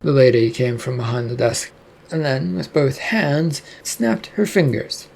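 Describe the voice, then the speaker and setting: A man with a raspy voice reading scripted story narration. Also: medium pitch, expressive, unhurried, darkish tone, slight background noise.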